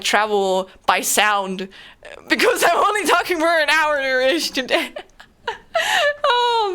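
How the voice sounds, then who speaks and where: A young woman with a German accent chats loosely with her stream audience. She rambles, leans on fillers and restarts often. Her voice is bright and crisp and sits a little high. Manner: rambling, filler-heavy, frequent laughter. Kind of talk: casual chat with viewers.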